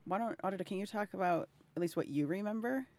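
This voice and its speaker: A woman's voice: mid-range voice, fast talker, darkish tone, dry recording. A woman in her thirties speaking as an interviewer in a heartfelt, effusive and emotionally open conversation. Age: 30s. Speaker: interviewer and health advocate.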